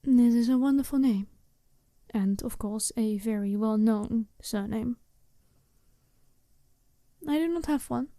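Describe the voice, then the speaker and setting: A smooth, seductive female werewolf bride, acted by a woman in a soft-spoken ASMR roleplay. Her voice sits fairly high yet stays mellow, and she talks in polite, formal, businesslike phrases. The sound is dry and close. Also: no echo. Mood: polite, composed. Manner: polite, measured, formal wording.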